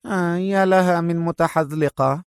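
A man voicing a cold, skeptical woman operative in an audio story, speaking in a crisp, decisive, analytical manner. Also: sits high, fairly quick, dry recording, studio quality, voice pushed up, lightly acted. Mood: cold, disdainful.

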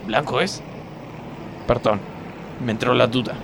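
A man's voice: mid-range voice, measured pace, creaky, noisy background. A man with an Argentine accent playing a dim, tactless factory worker in a comedy radio sketch. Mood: blundering. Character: dim, blundering, blurting male factory worker.

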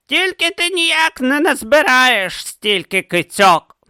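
A man voicing an old blind male philosopher who is absent-minded and wry, talking slowly and musingly in a high, thin voice. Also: big pitch swings, sharp tone, clear, resonant tone, no room sound, clean studio sound, exaggerated voice.

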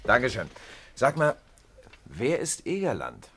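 An actor playing a kindly, teasing grown-up friend of schoolboys in a 1950s German radio play. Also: male voice, medium pitch, huge pitch swings, unhurried, background noise. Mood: amused, curious.

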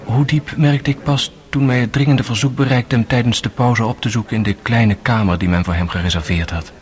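An actor playing a sober, sympathetic man narrating a trial in a radio drama, in measured storytelling. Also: medium pitch, fairly even intonation, lightly acted.

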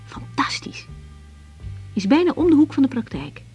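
An actress playing a young woman doctor in a naturalistic radio drama, frank and reflective, speaking in a measured, intimate, sometimes hesitant way. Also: high-pitched, very animated, darkish tone, dull audio, soft background music. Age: young adult. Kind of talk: naturalistic Dutch radio drama acting with diary monologue.

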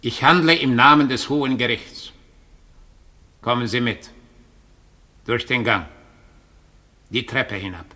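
An actor playing a sinister double, a cool and commanding emissary of a court, speaking tersely in a radio play. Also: male voice, medium pitch, unhurried, pauses often, bright, forceful tone, a little hiss or hum. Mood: cold, commanding. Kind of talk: 1960 German radio drama acting.

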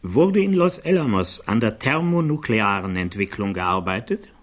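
A lawyer questioning someone in a radio play, lowish voice, very up and down, formal and exact. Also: male voice, big pitch swings, moderate pace. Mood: formal, probing. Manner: precise, formal, inquiring. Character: probing male defense attorney questioning a witness. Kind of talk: German radio play acting, courtroom-style questioning.

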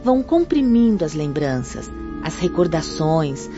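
An actress playing a serene, grave female spirit instructor in a radio drama, explaining in a measured, solemn way. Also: sits low, sing-song. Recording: dull lo-fi radio drama, music behind.

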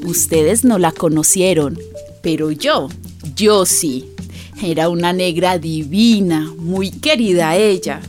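An actress playing a warm, gossipy Colombian neighbour telling a friend's story in a folksy, confiding way for a radio drama. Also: female voice, fairly low pitch, slow pace, faint music behind. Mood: fond, confiding.

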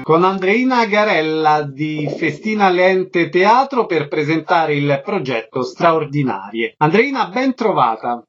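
A man's voice: fairly high pitch, expressive, a little slow, hardly any pauses, faint music behind. A man hosting a radio interview, putting short, courteous questions to guests. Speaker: radio host. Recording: slightly dull radio sound.

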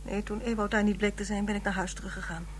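A woman's voice: mid-range voice, little pitch movement, fast, a little hiss or hum, lightly acted. An actress playing a proud, bitter widow in her fifties, curt and formal, in a radio drama. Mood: weary, controlled. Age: 50s.

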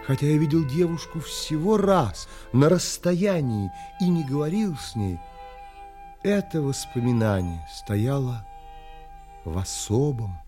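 An earnest, reflective gentleman narrates a literary audio drama. His voice is mid-pitched and mellow, with wide expressive swings and polite, firm phrasing. Music plays underneath. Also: unhurried. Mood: reflective, wistful.

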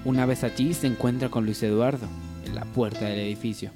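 A man's voice: mid-range voice, fairly even intonation, fairly quick, music in the background. A man narrating a parody radio soap opera in a mock-dramatic storytelling style.

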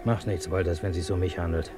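An actor in a German radio drama playing a cynical intelligence contact, clipped, wry and matter-of-fact. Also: male voice, deep voice, steady tone, fast, over music, close to an everyday voice. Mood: businesslike. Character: dry, cynical male intelligence contact, coolly businesslike.

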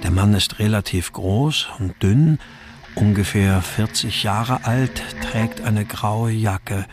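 An actor playing a melancholy husband and writer who narrates a radio crime drama in a restrained, introspective way. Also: male voice, fairly low pitch, slow pace, over music. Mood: tense recollection. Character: melancholy husband and writer narrating his missing daughter's story.

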